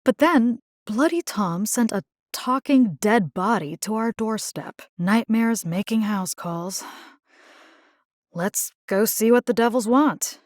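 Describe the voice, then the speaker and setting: A woman's voice: on the high side, expressive, takes it slowly, dry recording, studio quality. An actress voicing a resolute, caring, plain-spoken young woman in a video game, earnest and conversational with a wry edge. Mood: wry, weary. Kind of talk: acted video game character dialogue.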